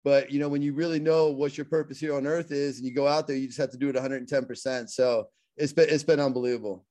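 A man's voice: medium pitch, steady tone, fast talker, dark tone. A middle-aged man with a Pittsburgh accent, a guest speaker talking earnestly in a casual interview and often repeating and restarting his words.

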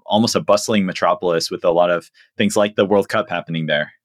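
A man's voice: low voice, steady tone, quick pace, dry recording, studio quality. A man hosting a podcast, introducing his guest and asking questions in a friendly, easygoing way. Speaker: podcast host. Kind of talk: interview hosting.

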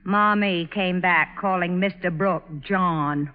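A woman's voice: fairly low pitch, slow pace, phone-quality sound. Headstrong, brooding young woman, declamatory 1940s radio drama style, dulled vintage broadcast. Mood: gloomy, solemn. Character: headstrong, gloomy, melodramatic tomboy young woman.

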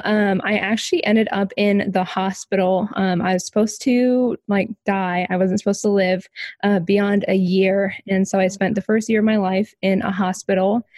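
A woman's voice: mid-range voice, a little mellow, no echo. Young woman, podcast guest, casual and chatty with lots of ums, dry close recording. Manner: casual, many ums, light laughs. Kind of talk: personal story in an interview.

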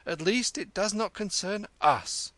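Man voicing a stingy farmer, eager, loud, raised voice, storybook. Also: sits high, unhurried, no room sound, voice pushed up.

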